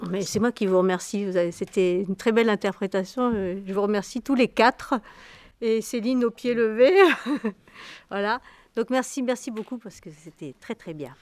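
A woman's voice: medium pitch, moderate pace, darkish tone, dry recording. A woman composer answering a young musician, explaining her intentions in spontaneous talk.

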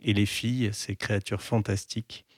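A man hosting a music radio show in French, chatting casually with a co-host and pausing often with fillers. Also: lowish voice, dry recording, studio quality. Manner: relaxed, hesitant, many pauses and fillers.

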